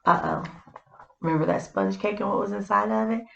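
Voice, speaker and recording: Female storyteller, explanatory children's read-aloud, medium pitch, roomy and slightly dull recording. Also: fairly quick, gravelly, fairly bright tone. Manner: explanatory, addressing young listeners. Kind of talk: scripted storybook narration with simple asides.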